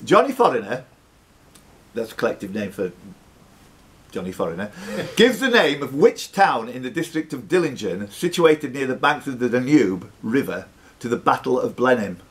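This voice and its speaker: A man's voice: mid-range voice, very animated, moderate pace. A man in his fifties hosting a live pub quiz, reading out questions with jokey, teasing banter. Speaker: quiz master. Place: social club bar room.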